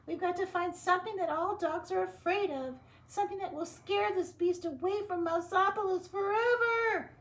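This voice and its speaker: A woman voicing a fussy, proper female mouse scientist in a storybook read-aloud, speaking high and grave. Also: normal speed, hardly any pauses. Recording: echoey room, faint room noise.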